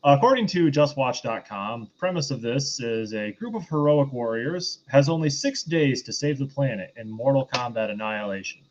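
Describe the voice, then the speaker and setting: A man's voice: mid-range voice, lively intonation, normal speed, hardly any pauses, a little hiss or hum. Male podcast host, mid-range voice, muffled lo-fi mic, hesitant, casual movie review. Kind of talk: casual film review discussion.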